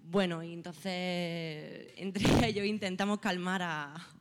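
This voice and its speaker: A woman's voice: fairly low pitch, steady tone, slow pace. A woman, an actress in the cast, describing her role with lots of fillers and giggles. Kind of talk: press presentation remarks. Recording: long hall reverb.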